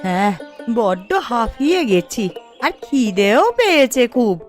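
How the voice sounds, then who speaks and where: A woman voicing a kindly, tired elderly village grandmother in a cartoon, speaking affectionately and slowly. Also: fairly high pitch, sing-song, faint music behind. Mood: weary. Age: elderly figure. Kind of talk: Bengali family cartoon voice acting.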